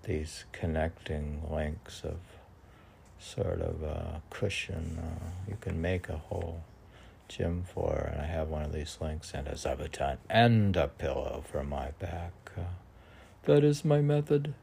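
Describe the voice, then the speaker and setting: A man in a rambling solo monologue, musing and questioning himself haltingly as he shares aphoristic lines of his own poetry. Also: rumbling low, vocal fry, dark tone, slight background noise. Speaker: poet reading and commenting on own writing.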